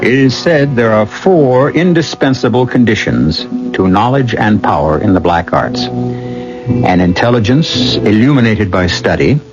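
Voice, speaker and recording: A man hosting and narrating a radio drama in a grave, measured, rhetorical manner. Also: mid-range voice, expressive. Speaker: host and narrator. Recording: muffled vintage radio broadcast. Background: background music.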